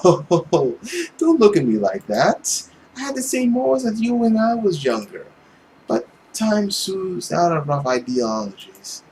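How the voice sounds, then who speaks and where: A man voicing a suave, mocking, ancient villain from a visual novel, with a theatrical, drawn-out and sardonic delivery. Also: high-pitched, big pitch swings, a little mellow, a little hiss or hum, voice pushed up, full character voice, poor audio. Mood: condescending.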